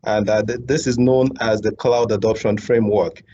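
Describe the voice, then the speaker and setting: Hesitant man hosting, lowish voice. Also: steady tone, moderate pace, mellow. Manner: hesitant, many uh fillers and repeated words. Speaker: show host. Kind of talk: interview hosting. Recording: dull lo-fi audio, faint background hum.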